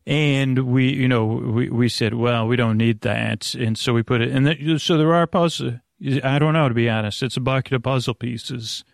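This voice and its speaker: A man hosting a bedtime podcast, rambling gently and haltingly to the listener, stammering and drifting into tangents in a friendly way. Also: mid-range voice, creaky, no echo, studio quality. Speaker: podcast host. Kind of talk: rambling, meandering bedtime monologue addressed to the listener.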